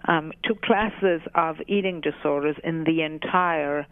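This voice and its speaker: Slow-talking woman on a phone-like line. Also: low voice, no echo. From Argentina. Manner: halting, thoughtful, self-correcting. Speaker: guest, psychologist treating eating disorders. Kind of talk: interview answers. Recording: narrow phone-like radio sound.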